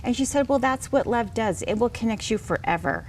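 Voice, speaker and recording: A woman's voice: fairly high pitch, expressive, a little fast, a little mellow, a little hiss or hum, poor audio. A middle-aged woman speaking as an author guest in a TV interview, earnest and reassuring as she tells personal stories. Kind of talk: conversational TV interview, personal storytelling.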